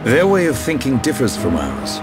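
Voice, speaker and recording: A thoughtful, formal man of counsel, medium-pitched yet expressively varied, in game-drama style. Clear music runs behind him. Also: wildly swooping pitch, moderate pace. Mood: grave, counselling. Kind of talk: video game voice acting.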